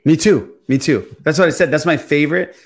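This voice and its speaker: A man's voice: on the high side, a little fast. A man co-hosting a podcast in casual fan chat, often echoing short phrases in agreement. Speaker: podcast co-host.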